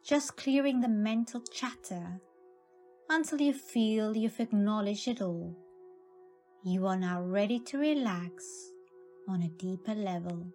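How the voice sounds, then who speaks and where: A woman narrating a scripted guided meditation in a calm, soothing, measured manner. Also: medium pitch, faint music behind.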